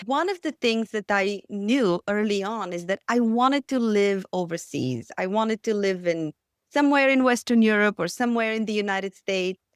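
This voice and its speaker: A woman's voice: mid-range voice, no echo, studio quality. A middle-aged woman with a Bulgarian accent, telling her life story as a podcast guest in an earnest, reflective way. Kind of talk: storytelling interview.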